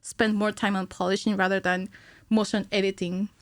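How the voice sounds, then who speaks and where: Female podcast guest, thirties, mid-range voice, conversational explainer who pauses to think; dry studio recording. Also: mid-range voice, level tone, dry recording. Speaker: podcast guest, cinematic animator. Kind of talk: conversational technical explanation in an interview. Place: small indoor podcast studio. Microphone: studio microphone on a stand.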